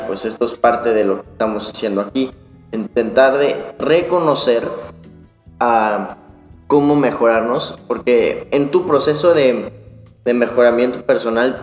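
Young guy co-hosting a podcast, casual talk, really echoey reverb, music in the back. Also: mid-range voice. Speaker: podcast co-host. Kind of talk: conversational self-help podcast talk.

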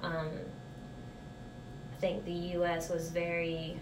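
A woman in her thirties with a slightly low voice answers questions about her childhood in a relaxed, chatty way. The room echoes noticeably. A steady hiss runs under everything. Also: takes it slowly. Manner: relaxed, chatty, laughs easily. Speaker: interview guest. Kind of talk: casual conversational interview.

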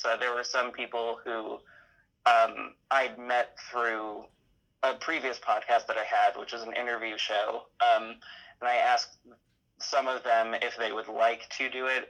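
Hesitant man with a bright voice. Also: mid-range voice, rough recording. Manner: hesitant, halting, thinking aloud. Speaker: interview guest, audio drama creator. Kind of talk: casual interview chat.

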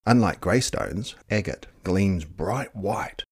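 A man reading a children's picture book expressively, dramatic, with hushed and drawn-out words. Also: low-pitched, animated, slightly rough. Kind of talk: expressive children's story narration.

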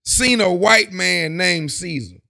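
A man in his thirties, a podcast co-host, joking loudly with crude slang in casual banter. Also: high-pitched, slow pace, gravelly. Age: 30s.

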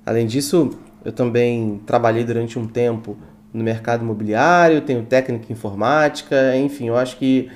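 A man's voice: mid-range voice, steady tone, normal speed. A young man from Brazil, a guest on a podcast, speaking earnestly and a little formally with some hesitations. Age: young adult. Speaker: guest, student event organizer. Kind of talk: casual podcast interview.

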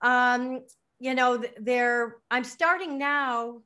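A woman in her late fifties, an interview guest, talking casually with frequent pauses and ums. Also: fairly high pitch, sharp tone. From United States. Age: 50s. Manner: hesitant, many ums.

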